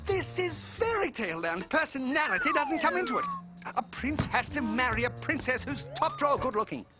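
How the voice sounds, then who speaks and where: Vain fairy-tale prince for cartoon: high, very animated, flowery and pompous, whining melodrama. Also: moderate pace, over music. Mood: appalled. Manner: pompous, flowery, over-dramatic speech. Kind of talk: comic cartoon acting.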